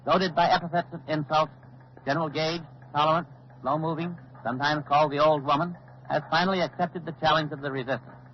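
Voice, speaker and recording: An actor playing a grave, authoritative male news analyst in formal, deliberate 1940s radio commentary. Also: fairly high pitch, fairly even intonation, close to an everyday voice. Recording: dull old 1940s radio recording, faint noise.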